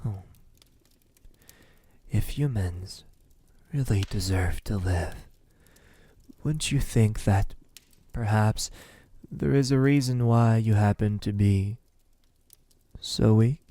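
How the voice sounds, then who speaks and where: A man playing a brooding vampire in an ASMR roleplay, speaking softly and intimately with a breathy, halting delivery. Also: on the low side. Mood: cold, condescending. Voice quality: soft, breathy, husky. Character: lonely, lovesick male vampire, menacing toward humans.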